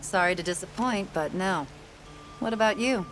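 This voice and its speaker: An actress voicing a calm woman hunter in a game cutscene, brief and understated. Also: mid-range voice, normal speed, lightly acted, rough recording. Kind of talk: video game cutscene acting. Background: game score music.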